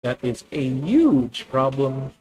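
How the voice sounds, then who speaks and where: A young man with a Filipino accent streaming a card game, thinking out loud about his moves, correcting himself and talking to his viewers. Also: medium pitch, very animated, slow pace, breathy edge, dull audio. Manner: thinking aloud, self-correcting, addresses viewers. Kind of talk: live gameplay commentary.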